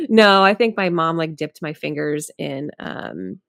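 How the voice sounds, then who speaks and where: A woman with a fairly low, noticeably creaky voice talks casually as a podcast co-host. She is informal and giggly, leaning on filler words, and the sound is close and dry. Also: wildly swooping pitch, takes it slowly, fairly bright tone, dry recording. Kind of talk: casual chat.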